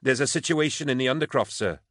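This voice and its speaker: A man's voice: medium pitch, fast, fairly bright tone, dry recording, clean studio sound, voice pushed up, natural voice. A man voicing an anxious female army trooper in an audiobook, urgent and deferential as if reporting to superiors. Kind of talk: audiobook character acting.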